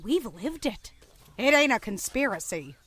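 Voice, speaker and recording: Woman as a high-voiced, wry survivor. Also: very animated, unhurried, fairly bright tone, husky, no room sound, full character voice. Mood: tense, blunt. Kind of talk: audio drama acting.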